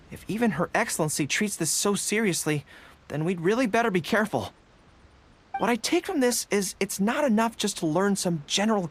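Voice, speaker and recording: A man's voice: sits high, fairly bright tone, exaggerated voice. A man voicing an earnest, dutiful young general in an English anime game, thinking aloud in formal military phrases. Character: earnest young male general, dutiful, eager, slightly flustered.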